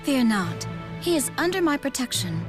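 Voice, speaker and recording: Self-assured spirit guardian for a game: airy, playful, highish and very animated, over music. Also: female voice, moderate pace. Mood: breezy, confident. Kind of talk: English video game voice acting. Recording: music under the voice.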